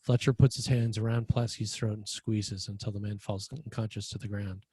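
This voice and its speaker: Dark-voiced man narrating a screenplay. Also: on the low side, fairly even intonation, a little fast, slightly rough, no echo. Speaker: stage-direction narrator.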